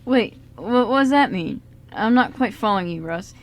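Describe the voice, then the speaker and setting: A woman's voice: medium pitch, lively intonation, normal speed, close to an everyday voice. A woman playing a curious, puzzled young man in an amateur radio drama, speaking in a casual, hesitant way. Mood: confused. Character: curious, puzzled young male friend of time traveler. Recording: noisy amateur radio show recording.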